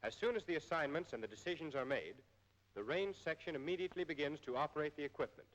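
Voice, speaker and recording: An American man reading scripted instructional narration in a formal, measured way, as in an old military training film. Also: a little high, a bit bright, muffled recording.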